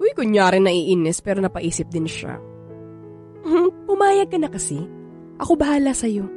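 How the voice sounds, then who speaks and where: A woman's voice: mid-range voice, expressive, dark tone, soft background music, close to an everyday voice. An actress playing a playful, persistent young woman in a radio drama, coaxing and teasing, with a Filipino accent. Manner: coaxing, teasing, drawn-out words. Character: playful, persistent, sweet-talking young woman wanting a baby.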